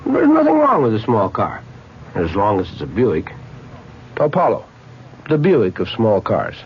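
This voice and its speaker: A man's voice: fairly low pitch, wildly swooping pitch, measured pace. Folksy man in a radio commercial. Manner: folksy, chatty. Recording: dull old radio broadcast.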